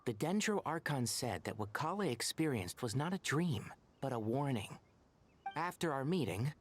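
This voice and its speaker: An actor playing a composed young forest watcher and mentor in an anime-style video game, articulate and matter-of-fact. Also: male voice, medium pitch, a little hiss or hum, close to an everyday voice.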